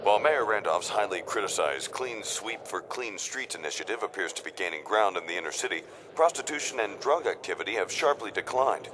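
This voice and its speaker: An actor playing a TV news anchor in a video game, reading the news in a formal manner. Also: male voice, lowish voice, lively intonation, a little fast, hardly any pauses, noisy background, lightly acted. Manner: formal newsreader delivery.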